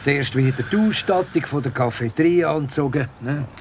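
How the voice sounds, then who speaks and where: An actor with a Swiss German accent playing a chatty, grumbling office worker in a radio drama, talking in a colloquial, animated way. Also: male voice, a little high, a little fast, background noise, natural voice. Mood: aggrieved, sarcastic. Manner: colloquial, animated griping with tag questions. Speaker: office worker in materials management.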